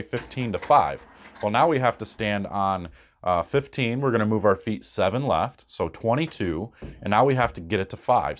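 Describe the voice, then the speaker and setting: Low-voiced man coaching bowling to camera. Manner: explains step by step, reassuring, fillers like "uh". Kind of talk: casual instructional talk to camera. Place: small room indoors.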